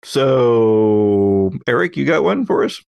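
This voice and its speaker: Man, medium pitch, podcast host, measured musing delivery, casual, dry sound. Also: expressive, gravelly, darkish tone, clear, resonant tone, no echo, lo-fi sound. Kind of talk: casual discussion.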